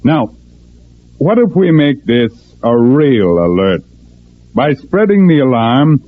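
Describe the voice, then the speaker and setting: An actor playing a cold, commanding male ringleader, measured and authoritative, in 1940s radio drama style. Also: medium pitch, very animated. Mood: cold, persuasive. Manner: measured, authoritative, clipped orders. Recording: muffled, narrow-band 1940s radio recording.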